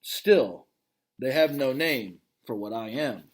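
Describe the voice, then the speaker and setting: A man reading a children's novel aloud as its gentle, thoughtful male gorilla narrator, in a calm, reflective way. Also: mid-range voice, takes it slowly, heavy background noise. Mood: wistful.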